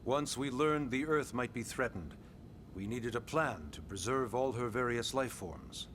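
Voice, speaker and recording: An actor playing a composed, authoritative middle-aged military commander in a video game cutscene, speaking in a measured, deliberate way with weighty pauses. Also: male voice, medium pitch, animated, a bit bright, slight background noise. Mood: explaining, resolute. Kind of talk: video game cutscene acting, expository dialogue.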